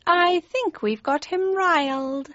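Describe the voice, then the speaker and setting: A woman voicing a grinning, mischievous male Cheshire Cat in an audiobook, with playful, genteel, drawn-out speech. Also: very high voice, sharp tone, no echo, lo-fi sound, pitched above the performer's own voice, full character voice. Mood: conspiratorial. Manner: drawling, playful, old-fashioned polite phrasing. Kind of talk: audiobook character voice.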